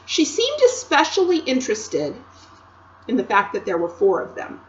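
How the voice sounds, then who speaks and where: A woman teacher reading a chapter book aloud to children, with expressive storytelling and chatty asides to her listeners. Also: on the high side, moderate pace, muffled recording, a little hiss or hum.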